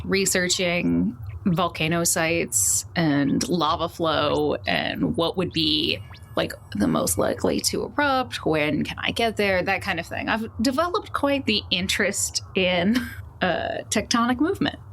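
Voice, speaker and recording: A woman's voice: fairly low pitch, very animated, a little slow, a bit bright. Casual jokey woman at a tabletop game. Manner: loose, jokey, prone to chuckling. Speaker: tabletop roleplaying player. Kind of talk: casual in-character improvised chat. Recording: faint background noise.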